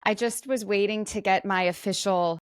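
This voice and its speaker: A woman's voice: mid-range voice, fairly even intonation, normal speed, clear, resonant tone, dry recording. A woman co-hosting a podcast in casual, conversational chat, with plenty of "like" and trailing asides. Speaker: podcast co-host; actor.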